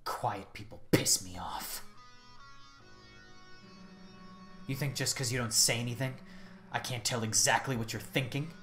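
A woman voicing a sullen, menacing teenage monster girl in a video game, speaking in a quiet, threatening sneer. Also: rumbling low, takes it slowly, faint music behind, voice pushed down. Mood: quietly menacing.

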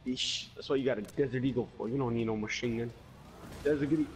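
A man's voice: medium pitch, fairly even intonation, quick pace, a little hiss or hum, poor audio. A young man in casual game chat on a voice-chat mic, grumbling and swearing. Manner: grumbling, profane. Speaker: gamer in group chat. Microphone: gaming voice-chat microphone.

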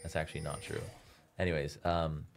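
A man's voice: very deep voice, level tone, a little fast, gravelly. A young man whose first language is Farsi streams a game and chats with his viewers in a joking, self-mocking way, speaking into a headset in a small room. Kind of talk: casual stream commentary. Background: faint game music.

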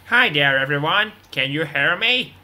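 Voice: medium pitch, animated, a little slow, bright, forceful tone. A performer playing a brash, unrepentant drug boss on the run in a radio drama, boastful and dismissive. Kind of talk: scripted radio drama interview.

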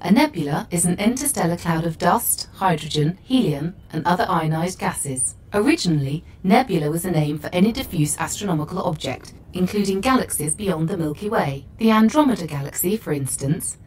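A woman's voice: fairly low pitch, expressive, fairly quick, few pauses, a little mellow, slight background noise, lightly acted. A woman voicing an encyclopedic female spaceship AI, composed and precise, giving scripted explanations. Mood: composed, informative.

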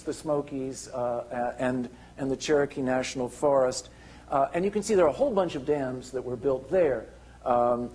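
An older man giving a keynote lecture with slides, halting, with many restarts and fillers. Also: a little high, darkish tone, a little hiss or hum. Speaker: keynote speaker. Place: lecture hall.

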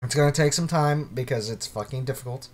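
A man's voice: medium pitch, brisk, a little mellow. Young male let's-play host, losing his voice, casual and profane. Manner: casual, swears, clears throat. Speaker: gaming YouTuber.

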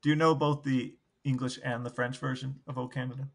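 A man's voice: mid-range voice, little pitch movement, brisk, creaky. A man co-hosting a podcast, chatting casually and telling anecdotes. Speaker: podcast co-host.

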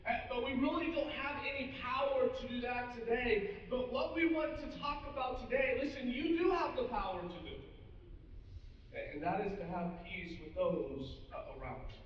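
A man's voice: very high voice, moderate pace, a little hiss or hum. A middle-aged man preaching a sermon to his congregation, speaking earnestly and addressing them directly, with frequent restarts. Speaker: pastor. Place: large church auditorium with a seated congregation. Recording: echoey, muffled hall audio from a distance.

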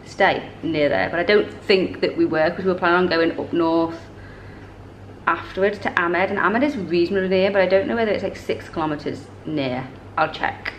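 A woman's voice: on the low side, moderate pace, echoey room, highs cut off, a little hiss or hum. A woman, talking casually to a handheld camera in a travel vlog, chatty and rambling, often correcting herself mid-sentence. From northern England. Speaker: travel vlogger. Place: hotel room indoors and busy street outdoors. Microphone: camera held at arm's length.

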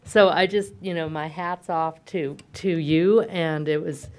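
A woman's voice: low-pitched, unhurried. A middle-aged woman hosting an event, giving spoken remarks to an audience and feeling her way through restarted phrases. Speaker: event host. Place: at a podium on an auditorium stage. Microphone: podium mic.

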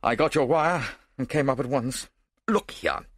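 A man's voice: medium pitch, animated, measured pace, breathy edge, no echo, clean studio sound, voice pushed up. A man voicing an agitated, haggard nobleman who splutters and exclaims in bewilderment, in an audiobook story. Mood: anxious.

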